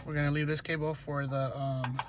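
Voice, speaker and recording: A man with a slightly high voice talks through a hands-on repair job as a DIY presenter. He goes step by step, haltingly, repeating himself as he instructs. The amateur recording has clear background noise and clicking. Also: level tone. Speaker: DIY car tutorial presenter. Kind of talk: hands-on how-to narration, unscripted.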